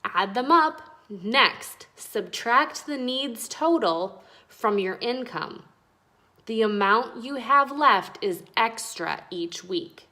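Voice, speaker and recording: Lady reading as nice uncle in a kids book, patient teachery, slow, encouraging. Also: on the high side, expressive, pushed and bright, heavily acted. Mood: patient, instructive. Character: kindly instructive uncle explaining money to children.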